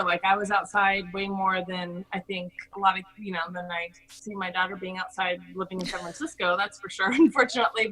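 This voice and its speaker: A woman's voice: medium pitch, bright, forceful tone, poor audio. A middle-aged American woman from Hawaii, chatting effusively and telling personal stories as a podcast guest. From Hawaii, United States. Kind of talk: casual personal storytelling in an interview.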